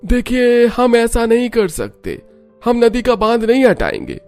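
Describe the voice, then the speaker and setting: A man puts on a stubborn village headman for a cartoon tale. The voice is very high for a man, firm and dismissive, over light music. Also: wildly swooping pitch, measured pace, a little mellow, exaggerated voice. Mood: stubborn, defensive. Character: stubborn village headman refusing to share river water. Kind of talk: Hindi cartoon story dialogue.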